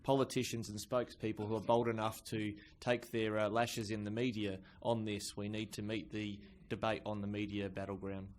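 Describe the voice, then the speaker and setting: Low, even-toned male panellist. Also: normal speed, hardly any pauses. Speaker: conference panellist. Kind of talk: political panel discussion. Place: indoor conference session.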